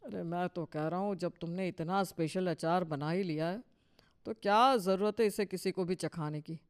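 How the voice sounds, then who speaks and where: A man's voice: high-pitched, moderate pace, clear, resonant tone. A man playing a henpecked older father-in-law in a Hindi cartoon story, teasing and placating in a colloquial, folksy way. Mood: placating, sly.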